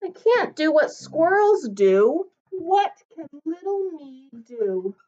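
A woman's voice: sits high, very animated, slow, mellow, pitched above the performer's own voice, heavily acted. A woman voicing a tiny three-pound male dog who sadly wishes he could do what bigger animals do, in a plaintive sing-song storybook way. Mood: wistful. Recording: dull lo-fi recording.

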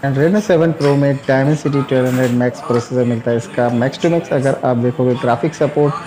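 A man hosting a tech review, explaining phone software in a chatty, repetitive way with English tech terms mixed in. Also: mid-range voice, moderate pace, crowd noise, low-quality recording. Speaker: tech channel host.

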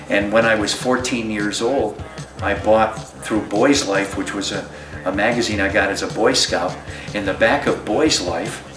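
A middle-aged man from New York State with an American accent, reminiscing in an interview. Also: on the low side. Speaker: radio company president, interviewee. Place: indoors, office. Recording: roomy, distant mic, music behind.

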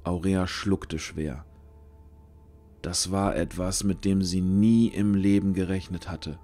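A male narrator tells a fantasy tale in scripted storytelling style. His voice sits slightly low, with music playing behind it. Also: steady tone, slow, mellow.

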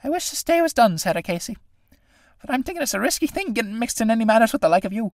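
A woman plays a jittery country priest, a man who coaxes and dodges in a lively, rising voice. The sound is dry and close. It suits a read-aloud stage play. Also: a little high, quick pace, no echo, pitched above the performer's own voice. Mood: hurried. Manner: uneasy, coaxing. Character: nervous, evasive middle-aged village priest, male.

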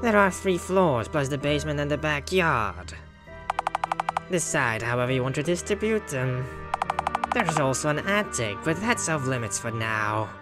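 A performer voicing a menacing raven-headed masked killer in a visual novel, speaking in a formal, coldly polite, measured way. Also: medium pitch, animated, over loud music, close to an everyday voice. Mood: matter-of-fact menace. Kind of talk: visual novel villain voice acting.

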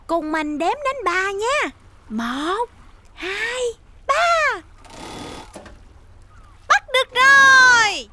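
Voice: very high-pitched, very animated, drawn-out words, long pauses, sharp tone, full character voice. An actor voicing a cute, earnest young fox police officer in an exaggerated, instructive children's cartoon style, with music behind. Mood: eager, playful.

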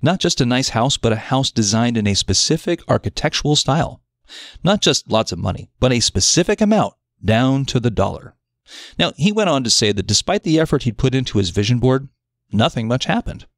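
Expressive male self-help article narrator. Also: mid-range voice, a little fast, no echo, studio quality. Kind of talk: scripted narration of an audio article.

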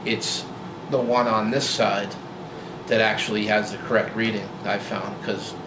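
A man in his forties talking casually to camera about his old truck, relaxed and rambling with lots of fillers and restarts, filmed in a garage. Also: lowish voice, a little slow. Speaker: vlogger. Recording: roomy garage with loud hum.

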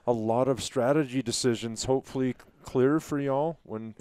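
A man's voice: mid-range voice, normal speed, mellow, clean tone, dry recording. A middle-aged man you'd hear steering an outdoorsmen's podcast around a table, up close and dry. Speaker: podcast co-host. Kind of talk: casual hunting podcast discussion. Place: small wood-panelled room, around a table. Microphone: headset mic.

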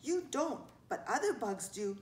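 A woman's voice: fairly high pitch, sing-song, a little slow. A woman voicing a timid, apologetic young child in a storybook, hesitant and stammering. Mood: sheepish. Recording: echoey room.

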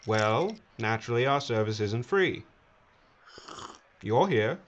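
A man's voice: mid-range voice, expressive, slow, dull audio, slight background noise. An actor playing a smug, slick, self-important billionaire businessman giving a pompous interview. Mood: pompous, salesman-like. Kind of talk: film dialogue, mock interview.

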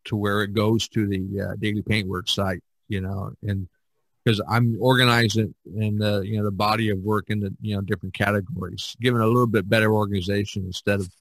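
A man's voice: on the low side, level tone, fairly quick, dry recording, rough recording. A man hosting a podcast in a casual, rambling way, often repeating himself and circling back. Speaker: podcast host.

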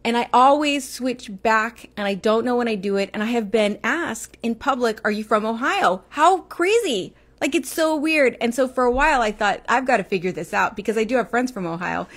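A woman's voice: a little high, lively intonation, a bit bright. Chatty Texan woman livestreaming. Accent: Texas, with Midwestern turns. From Texas, United States. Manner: chatty, rambling, quick asides to viewers. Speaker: livestream host. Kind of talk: casual livestream chat. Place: small room indoors. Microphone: earphone headset mic, phone camera close.

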